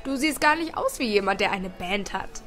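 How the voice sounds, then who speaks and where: A woman voicing a cool, playful young man with an amused, smooth-talking manner in an audiobook. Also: mid-range voice, animated, normal speed, a bit bright, soft background music, close to an everyday voice. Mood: teasing.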